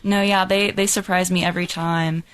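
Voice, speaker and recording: Upbeat young woman, lowish voice. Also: flat delivery, fairly bright tone, slight background noise. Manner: upbeat, quick, with many "like" and "you know" fillers. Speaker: director and composer of a musical. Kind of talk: casual interview chat.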